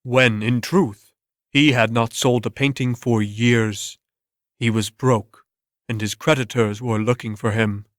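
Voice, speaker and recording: Male narrator for a dramatic story reading, mid-range pitch, dry studio-like sound. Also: steady tone, measured pace, no echo.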